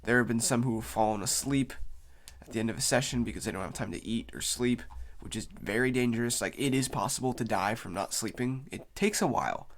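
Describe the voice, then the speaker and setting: A man hosts an unscripted video in a casual, rambling way. His voice sits at a middling pitch and carries the tiredness of someone with a cold. He explains things loosely, qualifying his points as he goes. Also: brisk. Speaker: YouTube host.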